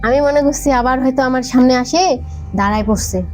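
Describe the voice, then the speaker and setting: A young adult woman with a Bangladeshi Bengali accent, telling a personal story conversationally in halting, repetitive short bursts. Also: high-pitched, darkish tone. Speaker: podcast guest. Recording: background music under the voice.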